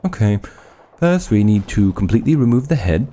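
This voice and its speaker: A man's voice: lowish voice, sing-song, moderate pace, mellow, a little hiss or hum. A man playing an obsessive mad scientist and army surgeon in a horror audio drama, clinical and commanding, with gleeful fascination. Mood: cold, clinical.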